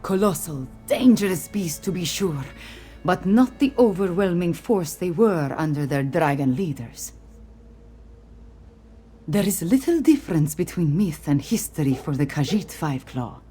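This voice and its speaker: A regal Khajiit warrior queen for a video game. Her voice is low and soft-edged, with formal, measured, earnest phrasing and a weary weight. Faint music plays underneath. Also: expressive.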